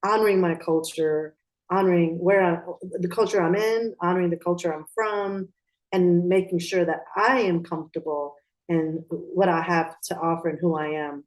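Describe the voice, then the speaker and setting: Woman, from Pakistan, raised in Atlanta, interview guest, reflective, restarts sentences, low voice. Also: steady tone, normal speed, rough recording. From Pakistan, raised in Atlanta, Georgia. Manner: reflective, with frequent restarts and fillers. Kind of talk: conversational interview.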